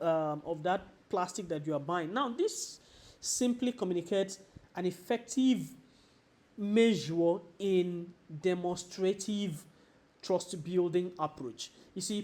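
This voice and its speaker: A man with a Nigerian accent giving a training presentation as a sales coach, instructive and deliberate, posing rhetorical questions. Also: high voice, a little mellow.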